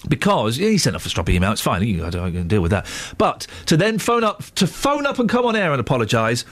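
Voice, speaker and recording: A man's voice: medium pitch, sing-song, quick pace, fairly bright tone. A man hosting a radio phone-in, teasing and playful, a little bunged up. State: bunged up nose. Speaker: radio presenter. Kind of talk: casual radio phone-in chat.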